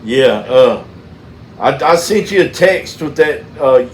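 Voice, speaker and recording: Middle-aged Southern US man, folksy halting storyteller, roomy echo and some background noise. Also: on the high side, expressive. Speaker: mechanic and channel host. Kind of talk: casual phone chat with storytelling.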